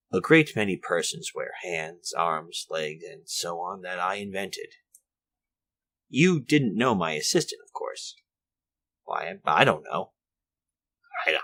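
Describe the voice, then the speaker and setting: Radio drama role: courteous infirm old inventor, low pitch, clear forward edge, halting genteel delivery. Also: male voice, pushed and bright, exaggerated voice. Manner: hesitant, genteel, rambling, formal. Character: elderly, courteous infirm inventor of artificial limbs. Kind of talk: retro 1940s radio drama acting, monologue.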